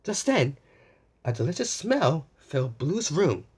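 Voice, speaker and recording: A children's story time host narrates a picture book in a very animated, slow-paced way. Little asides and repeated phrases are addressed straight to young listeners. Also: mid-range voice, husky.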